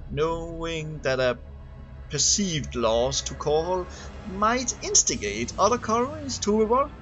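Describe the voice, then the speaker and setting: A man reading a scripted narration aloud in a halting way, often stumbling over and repeating words. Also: medium pitch, fairly bright tone. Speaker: amateur reader of game lore. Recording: dull lo-fi home recording, music behind. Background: background music.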